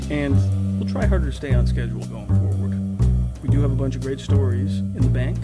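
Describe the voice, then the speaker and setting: Male podcast host, animated announcer delivery, prominent guitar music underneath. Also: mid-range voice. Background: loud plucked guitar music.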